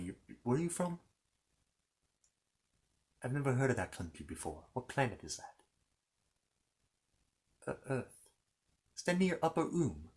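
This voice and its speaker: Casting: male performer as a courteous, bookish fantasy knight for ASMR roleplay, mid-pitched, very lively intonation, stammering and apologetic. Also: close to an everyday voice. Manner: halting, stammering, apologetic, chatty. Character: polite, bumbling, bookish knight from a fantasy kingdom. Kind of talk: improvised ASMR character roleplay.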